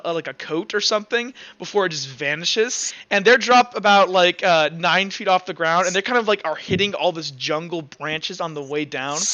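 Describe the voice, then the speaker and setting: A man's voice: high voice, bright, forceful tone, no room sound, highs cut off. A man improvising narration as a tabletop game master, informal and hesitant as he pieces the scene together.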